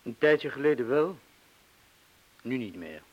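An actor with a Flemish accent playing an anxious, protective man in a radio drama, speaking haltingly and urgently. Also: medium pitch, lively intonation, muffled recording. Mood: subdued. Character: anxious, protective man urging his lover to leave. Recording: hissy old radio play recording.

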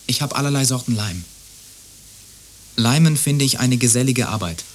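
A lonely male neighbour in a radio drama, played by an actor, eager to help. He muses earnestly and rambles along in a medium-pitched voice. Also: lively intonation, normal speed, soft background music, natural voice. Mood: earnest, musing. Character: lonely, helpful neighbour who loves mending broken things. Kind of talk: radio play monologue acting.

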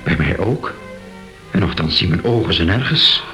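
An actor playing a courteous, intrigued historic monuments official in a radio play, lightly gallant in manner. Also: male voice, low-pitched, expressive, normal speed, pushed and bright, soft background music, exaggerated voice. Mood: courteous, curious. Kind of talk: Dutch-language radio play acting. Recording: hissy old radio play recording.

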